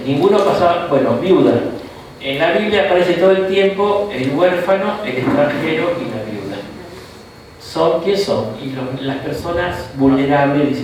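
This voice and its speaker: Argentine man, bishop teaching a Bible class, chatty lecture, booming echoey room, mic far off. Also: darkish tone, a little hiss or hum. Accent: Argentine Spanish. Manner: conversational, frequent "¿no?" and "¿tá?" tags, asides.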